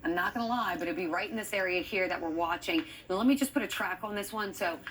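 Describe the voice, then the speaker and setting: A woman's voice: lowish voice, fast, fairly bright tone, a little hiss or hum. A woman with an American accent giving live severe weather commentary, urgent and thinking aloud as she reads the radar. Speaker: TV weather forecaster.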